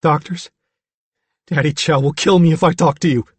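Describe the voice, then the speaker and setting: Audiobook role: scared, bewildered young man played by a male narrator, shift+ pitch, pleading and simple-spoken. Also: steady tone, moderate pace, breathy edge, no echo. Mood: frightened, confused. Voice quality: ungrammatical, pleading speech. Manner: anxious, bewildered, exclaiming. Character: frightened, dazed, childlike troubled young man.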